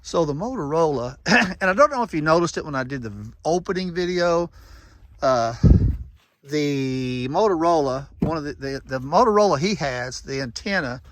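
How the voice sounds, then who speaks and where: Higher-voiced man, casual and folksy. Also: animated, normal speed, dry recording. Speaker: vlogger testing walkie-talkies. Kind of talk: casual running commentary and radio check calls.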